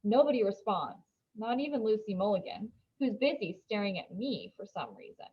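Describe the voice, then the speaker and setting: A woman reading a children's novel aloud as an expressive storybook narrator. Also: mid-range voice, normal speed, slightly rough, lo-fi sound.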